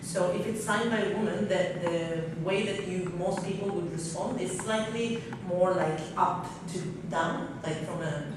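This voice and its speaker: A woman's voice: fairly low pitch, measured pace, few pauses. A woman from Norway speaking on a seminar panel, candid and thinking aloud with fillers, in a large hotel conference room. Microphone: table mic heard from far off. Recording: very echoey room, far from the mic, noisy.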